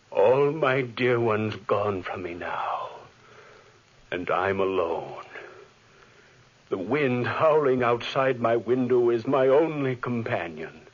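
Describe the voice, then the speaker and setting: An actor playing a tormented scientist haunted by guilt and fear, melodramatic and halting, in 1940s radio style. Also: male voice, lowish voice, exaggerated voice. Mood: bereft. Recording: dull, husky-sounding 1940s radio recording.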